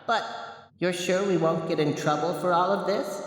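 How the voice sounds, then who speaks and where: A woman's voice: lowish voice, steady tone, moderate pace, lightly acted. A woman playing a haughty, easily disgusted young alien student in an audio horror drama, with an exaggerated teenage delivery. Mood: casual, curious. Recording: echoey hall reverb, faint background noise.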